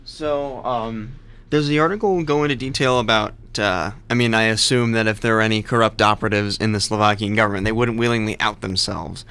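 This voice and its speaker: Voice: mid-range voice, fairly even intonation, fairly quick, fairly bright tone, clear, resonant tone. A co-host chatting casually, speaking thoughtfully and hesitantly while asking follow-up questions. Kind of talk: casual chat.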